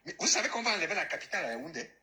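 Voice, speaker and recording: A man's voice: on the high side, sing-song, rapid-fire, bright, forceful tone. A Cameroonian man ranting about politics, mocking and scornful, and mixing in local-language phrases. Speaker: caller, diaspora activist. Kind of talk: heated political rant.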